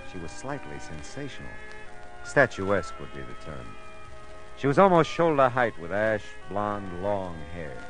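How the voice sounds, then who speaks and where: Hardboiled private eye: world-weary wisecracker, lowish and very animated, old-time radio narration. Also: male voice, low-quality recording. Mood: wry, brooding. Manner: wry, hardboiled delivery. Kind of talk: classic radio detective drama acting and narration.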